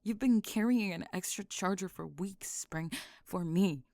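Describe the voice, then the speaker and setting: A woman voicing a guarded, dry-witted goth high school girl in an ASMR roleplay, terse, reluctant and deadpan. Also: a little slow, gravelly, dry recording. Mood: exasperated, confessing. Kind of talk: ASMR roleplay audio drama.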